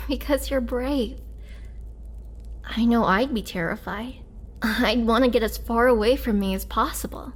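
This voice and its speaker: A woman playing a gentle, remorseful young vampire in an intimate roleplay audio drama, speaking softly and hesitantly with tender care. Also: on the high side, a little hiss or hum. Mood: humbled, remorseful. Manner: soft, hesitant, caring delivery.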